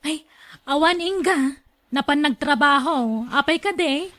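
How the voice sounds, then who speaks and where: A woman's voice: high-pitched, measured pace, a bit bright. A woman acting as a chatty wife in a conversational radio drama scene. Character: chatty wife of a working man.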